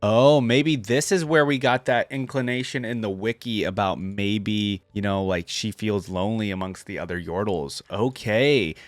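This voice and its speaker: A man's voice: fairly low pitch, moderate pace, few pauses, no room sound, soft background music. A man doing casual reaction commentary on game voice lines, thinking aloud and repeating phrases. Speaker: reaction video host.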